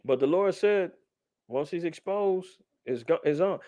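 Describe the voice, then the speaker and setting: A man teaching scripture informally as a podcast host, with conversational streetwise slang and animated asides. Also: fairly high pitch, measured pace, dry recording.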